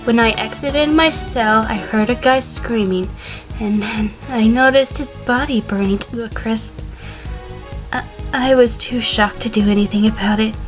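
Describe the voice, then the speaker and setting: A woman acting as a cagey female defendant on the witness stand in a courtroom drama. Her voice is on the high side, prickly and defiant yet prone to stammer, with music behind it. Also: measured pace, natural voice. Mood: recounting, uneasy.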